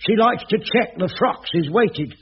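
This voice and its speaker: An actor playing a fussy, put-upon caretaker who stammers when flustered and slips into pompous phrasing, on an old radio recording with faint hiss. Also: male voice, high voice, expressive, measured pace, lo-fi sound. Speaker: Ramsay, caretaker.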